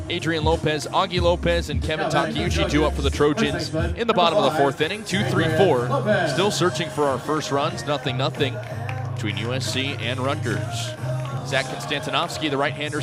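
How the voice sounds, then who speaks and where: A young man doing live radio baseball play-by-play in short, clipped, descriptive phrases, his energy following the action on the field. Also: a little high. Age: young adult. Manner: clipped descriptive phrasing, rising excitement on plays. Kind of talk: live radio sports commentary.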